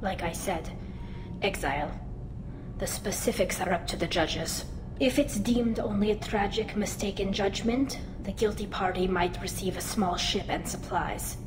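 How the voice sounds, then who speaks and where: A woman's voice: medium pitch, unhurried, roomy sound, noisy background, natural voice. A woman playing an earnest young alien woman accused of treason, speaking formally in video game dialogue. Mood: resigned, worried.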